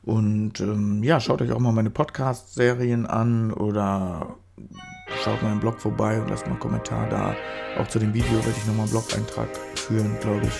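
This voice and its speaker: A man's voice: on the low side, flat delivery, moderate pace, gravelly, a little mellow, faint music behind. A man with a stuffy nose from a cold, chatting casually through a photo-editing tutorial voice-over, rambling and correcting himself as he goes. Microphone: studio microphone.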